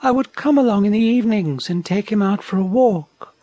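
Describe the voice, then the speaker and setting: A man voicing a gentle female wallaby in a storybook, soft, sweet and pleading. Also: high-pitched, expressive, brisk, dry recording, pitched above the performer's own voice. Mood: eager, tender.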